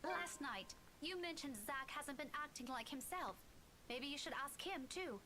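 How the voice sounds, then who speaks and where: A woman voicing an anxious, earnest young woman in a horror visual novel who apologizes yet stays determined, with a soft, pleading delivery. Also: high-pitched, normal speed, slight background noise. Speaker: game character.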